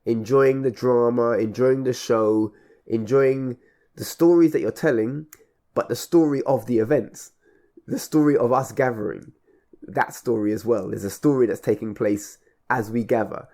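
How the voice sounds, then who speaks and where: A man hosting a radio conversation, speaking reflectively in measured, halting phrases and building on repeated words. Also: mid-range voice, dark tone. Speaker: radio show host and event organiser.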